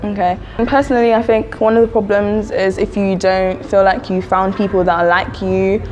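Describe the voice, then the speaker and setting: A woman's voice: medium pitch, little pitch movement, normal speed, a little mellow. London girl in her twenties, thoughtful chatty answers, faint hiss behind. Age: 20s. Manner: thoughtful, hedging with fillers. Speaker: student interviewee. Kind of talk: casual interview answers.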